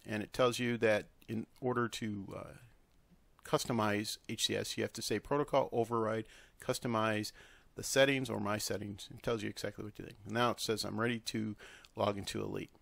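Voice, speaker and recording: Male tutorial presenter, gravelly, halting and unscripted, in a dry close recording. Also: fairly low pitch, fairly even intonation, fairly bright tone, no echo.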